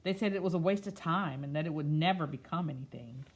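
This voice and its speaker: A woman reading a picture book aloud expressively, slipping into quieter, musing, conversational asides. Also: low voice, quick pace. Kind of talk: expressive read-aloud with soft, chatty asides.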